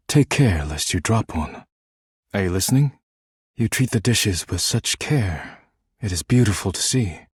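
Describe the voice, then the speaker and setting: A husky, stern dishware guardian. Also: male voice, low-pitched, no room sound, clean studio sound, exaggerated voice. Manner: formal, clipped, curt and solemn. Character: stern, proud, duty-bound living dishware caretaker, male. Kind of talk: video game character voice lines.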